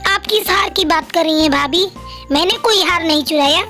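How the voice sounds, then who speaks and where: A woman's voice: extremely high pitch, normal speed, bright, forceful tone, faint music behind, heavily acted. A woman playing a meek young daughter-in-law in a cartoon family story. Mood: indignant. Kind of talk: Hindi cartoon story dialogue.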